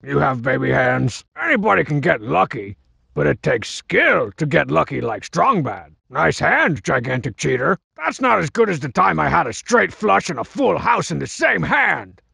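An actor playing a cocky, scheming cartoon masked wrestler in a video game, boastful and mocking, with a gravelly, raspy voice. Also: male voice, a little high, sing-song, moderate pace, sharp tone, no echo, studio quality, heavily acted. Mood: smug. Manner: boastful, mocking, swaggering wisecracks. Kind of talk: video game character barks.

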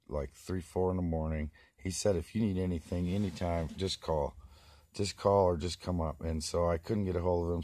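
A hoarse man, about forty, rambling in a casual vlog, filmed on a handheld phone inside a small greenhouse. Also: extremely low pitch, warm tone. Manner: rambling, many restarts and fillers. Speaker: vlogger. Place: small plastic greenhouse, indoors. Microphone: phone camera held close.

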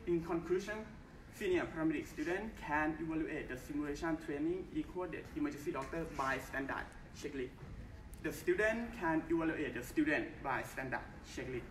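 Young thai guy doing a conference talk, kinda high voice, far off in a roomy hall, faint hiss. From Thailand. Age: young adult. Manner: careful, halting English with simple phrasing. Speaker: conference presenter. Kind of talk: formal research presentation. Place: large bright conference hall. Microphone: far camera, no mic.

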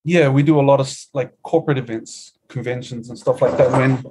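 A man's voice: medium pitch, fairly even intonation, fairly quick, noisy background. A middle-aged man, a musician guest telling his story in a laid-back, easygoing way over a video call. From New Zealand. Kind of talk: casual interview chat.

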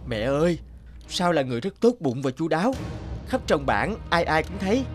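A man playing an earnest young villager, a devoted son and suitor, speaking respectfully in an animated folk tale. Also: a little high, normal speed, faint music behind. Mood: earnest pleading. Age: young adult.

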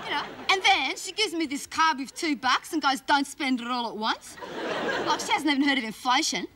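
A gossipy Australian schoolgirl, played by a woman in a stand-up routine. Her voice is very high, and she rambles breathlessly in cheeky, indignant slang. It is a noisy live recording with a loud audience behind her. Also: animated, normal speed. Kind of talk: stand-up comedy character monologue. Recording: live stage, loud audience laughter and noise. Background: loud laughing crowd.

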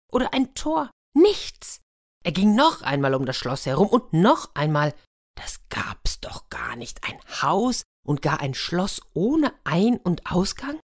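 A woman narrating a fairy tale for children, expressive and dramatic, with hushed passages and drawn-out words. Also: low voice, breathy edge, dry recording, clean studio sound. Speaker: storyteller, host of a children's story channel.

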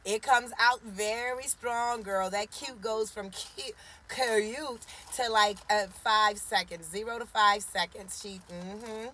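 A woman's voice: medium pitch, steady tone, slow pace, sharp tone, a little hiss or hum. A woman in her forties hosting a casual live stream from a parked car, chatting and teasing and greeting viewers by name. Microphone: phone camera at arm's length.

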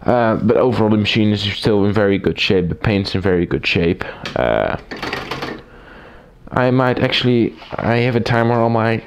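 Male hobbyist reviewer, lowish raspy voice, cold, faint background hiss. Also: lively intonation, normal speed. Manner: rambling, with frequent fillers and restarts. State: recovering from a cold. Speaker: typewriter collector reviewing a machine. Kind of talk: casual hands-on review. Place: small room at a desk, indoors. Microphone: camera mic, speaker off-camera.

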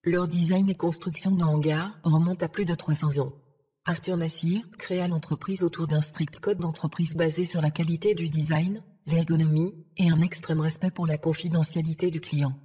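A synthetic female voice of an AI assistant, giving formal, measured, deadpan scripted information. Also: low voice, husky. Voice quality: synthetic text-to-speech voice. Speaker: AI ship assistant.

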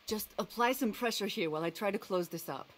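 An actress playing a weary, compassionate medic in a zombie video game, with a gentle, earnest way of speaking. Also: female voice, mid-range voice, a little fast, lightly acted. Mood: weary, troubled.

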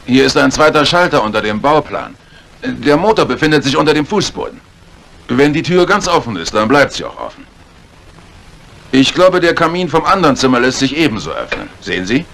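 A brisk police inspector from a vintage radio mystery. His sits at a medium pitch. He talks in a clipped, businesslike and matter-of-fact way. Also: very animated, vocal fry, fairly bright tone. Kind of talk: 1950s German radio play acting.

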